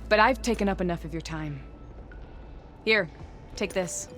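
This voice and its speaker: An earnest young huntress. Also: female voice, on the low side, a little slow, fairly bright tone, soft background music. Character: proud young woman hunter, worried for a friend. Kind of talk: video game dialogue.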